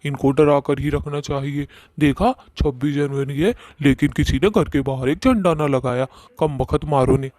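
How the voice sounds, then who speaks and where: A man's voice: a little high, fairly even intonation, normal speed, few pauses, a little mellow, no room sound, close to an everyday voice. A man voicing a crude, swaggering ghost in a comic horror cartoon, blustering and threatening. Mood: smug. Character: swaggering male ghost, crude, bullying, menacing. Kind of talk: Hindi cartoon horror-comedy acting.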